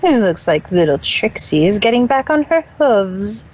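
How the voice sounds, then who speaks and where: A woman's voice: mid-range voice, very animated, normal speed, a little mellow, slight background noise, natural voice, low-quality recording. Smug scheming villain mare read by a woman.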